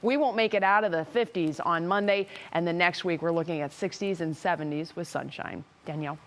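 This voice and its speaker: A woman's voice: lowish voice, brisk, slight background noise. A woman working as a TV weather forecaster in a studio, talking in an emphatic, conversational way through forecasts and ad-libbed banter.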